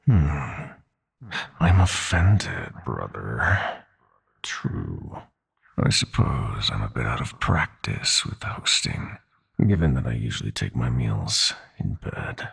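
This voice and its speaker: A man playing a smug, sadistic demon prince of nightmares in an audio roleplay, with a husky, gravelly voice and a mock-polite, taunting drawl. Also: extremely low pitch, sing-song, takes it slowly, fairly bright tone, full character voice. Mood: mock offended. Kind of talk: audio roleplay villain acting.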